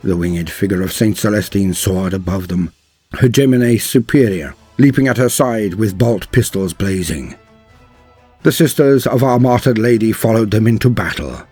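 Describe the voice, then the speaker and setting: A man's voice: on the low side, darkish tone, slightly rough. A man narrating a scripted war story in a grave, theatrical storytelling manner. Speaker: narrator of fantasy war lore. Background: music.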